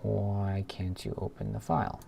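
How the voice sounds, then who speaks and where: A man's voice: on the low side, level tone, a little slow, vocal fry. A man streaming as he codes, thinking aloud casually and muttering to himself. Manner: muttering to himself, murmured asides with sighs and hums. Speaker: programmer live streamer. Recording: noisy room with keyboard clicks. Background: computer keyboard typing and clicking.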